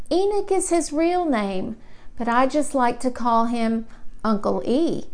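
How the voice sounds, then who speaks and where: A curious small boy from a children's storybook, as a woman reads him, speaks in a high voice. He takes things literally and puzzles over them slowly, with childlike deliberation. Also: heavily acted. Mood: naive wondering. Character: curious, literal-minded small boy narrating about his great-uncle.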